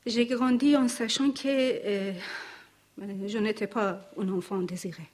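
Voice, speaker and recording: Slow Persian-accented woman reading notes. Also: mid-range voice, steady tone, a little mellow. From Iran. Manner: careful, measured reading with pauses. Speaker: speaker giving testimony. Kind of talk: testimony read from notes.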